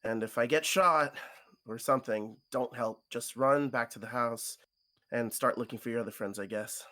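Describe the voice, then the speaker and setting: A man voicing a cautious, protective young male friend in a visual novel, hesitant and low-key. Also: moderate pace, pushed and bright, lightly acted. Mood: nervous, protective. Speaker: co-reader voicing a character on stream.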